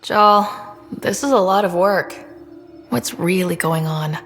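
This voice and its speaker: A woman's voice: fairly low pitch, slow pace, fairly bright tone, big-room sound, soft background music. A woman playing a supportive, level-headed young starship commander in a video game, casual and reassuring. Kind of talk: video game character acting.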